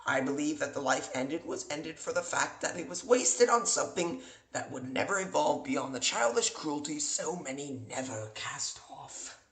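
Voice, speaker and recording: A man's voice: a little high, animated, normal speed, few pauses, reverberant, poor audio. A man voicing an unhinged, brooding, articulate homicidal maniac in a theatrical comic dub. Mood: brooding, lecturing. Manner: theatrical, erratic, swinging from hushed to shrieking.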